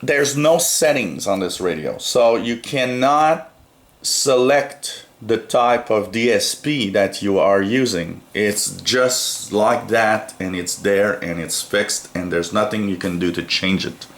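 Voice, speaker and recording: A man giving a casual gadget review, explaining things in a hesitant way with frequent pauses. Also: on the low side, a bit bright. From North America. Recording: roomy, echoey sound.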